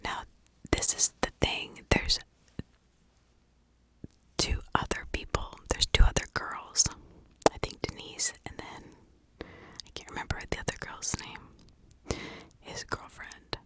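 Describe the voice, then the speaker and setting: A woman doing ASMR true-crime storytelling in a breathy whisper, chatting from memory with dramatic emphasis on key words. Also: slow, pauses often, fairly bright tone, dry recording. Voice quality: breathy, unvoiced whisper with creaky edges.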